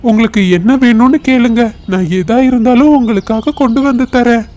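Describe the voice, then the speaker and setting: A man plays a devoted cartoon crow husband. His voice sits high, and he talks in an earnest, anxious, pleading way, eager to please. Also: a little fast, a little mellow.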